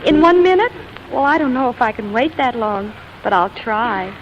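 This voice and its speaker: Actress as haughty young glamour girl, high voice, coquettish, fiery, theatrical, 1940s comedy, muffled old recording. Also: expressive, moderate pace, natural voice. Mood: sweetly coaxing. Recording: muffled old soundtrack, hissy noise.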